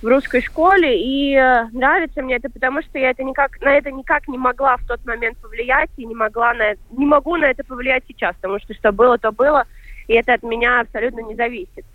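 Young latvian woman, filmmaker guest, thinks out loud, tinny phone sound. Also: high-pitched, steady tone, fast, no room sound. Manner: thoughtful, hesitant, many fillers. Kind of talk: discussion panel talk. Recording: dry, tinny phone-like line.

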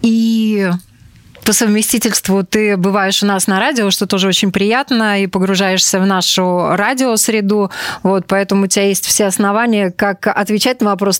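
A woman's voice: medium pitch, dry recording. A woman hosting a radio programme, moderating a conversation with guests in a studio interview style.

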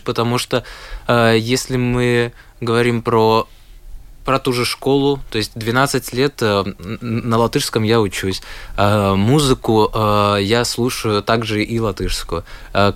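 An eighteen-year-old schoolboy from Latvia, answering interview questions with lots of hesitations. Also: male voice, on the low side, dry recording. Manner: hesitant, many filler sounds. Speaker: guest, schoolboy.

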